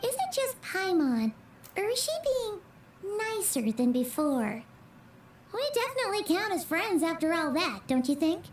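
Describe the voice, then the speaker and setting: A woman's voice: extremely high pitch, big pitch swings, a little slow, far from the mic, a little hiss or hum, exaggerated voice. A woman voicing a tiny, chirpy fairy companion girl in a video game who talks about herself in the third person. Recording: game audio with music, roomy.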